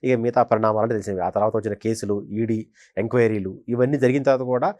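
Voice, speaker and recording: A man's voice: lowish voice, steady tone, quick pace, darkish tone, no room sound. A man giving conversational political commentary as a journalist, emphatically repeating phrases for stress. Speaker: senior journalist, political analyst.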